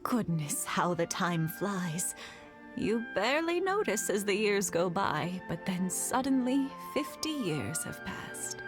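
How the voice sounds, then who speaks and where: A fond, teasing old wife. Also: female voice, mid-range voice, sing-song. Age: older. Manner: slow, gently teasing, motherly. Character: warm elderly village wife, teasing and fond. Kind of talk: game voice acting. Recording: game dialogue with music behind.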